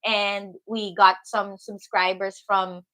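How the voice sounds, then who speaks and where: A woman's voice: medium pitch, level tone, slow pace, sharp tone. A woman co-hosting a call-in livestream, reading out viewer comments in a casual chat. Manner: reads out viewer comments, laughs along. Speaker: livestream co-host.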